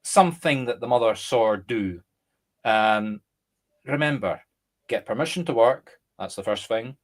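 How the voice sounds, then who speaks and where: A Scottish man, a medium and co-host, chatting casually and explaining through lively examples. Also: mid-range voice, measured pace. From Scotland. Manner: animated, explains through examples and acted-out quotes. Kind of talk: casual conversation.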